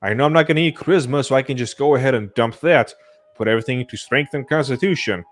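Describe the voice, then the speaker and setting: Man casually explaining, average pitch. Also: fast talker. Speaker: podcast panelist.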